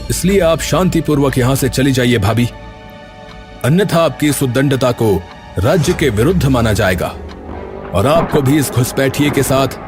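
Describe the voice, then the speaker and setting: A man acting a stern, suspicious young ruler in an animated court drama, formal and commanding, with music behind. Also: medium pitch, natural voice.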